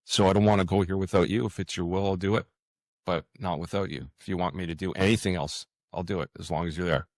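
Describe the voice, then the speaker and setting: A man with a low voice and a close, dry sound talks reflectively in an interview. He is earnest and halting, often doubling back on his words as he explains himself. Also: fairly even intonation, darkish tone, no echo. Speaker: podcast guest, fantasy writer.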